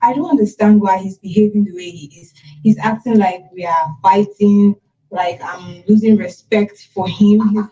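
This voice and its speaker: Woman acting a mellow, distant, patient Nigerian wife. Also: medium pitch, steady tone, moderate pace, close to an everyday voice. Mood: dejected. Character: patient, worn-down working wife and mother, soft-spoken. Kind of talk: radio drama acting. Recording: dull lo-fi recording, distant mic.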